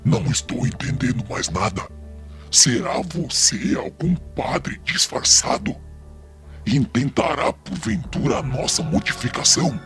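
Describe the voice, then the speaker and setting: A gravelly, low-pitched tormenting spirit, acted out by a man, embittered and indignant in a Brazilian accent. The delivery is theatrical and swings widely in pitch, as in an audio drama, with music underneath. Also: lively intonation, unhurried, a bit bright. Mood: wary, suspicious.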